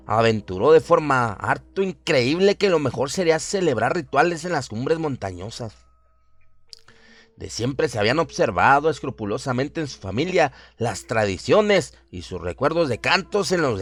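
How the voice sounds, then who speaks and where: A man's voice: a little high, a bit bright. A man narrating a horror radio story in a solemn, literary, suspenseful manner. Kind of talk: dramatic scripted horror narration. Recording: music behind the voice. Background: music.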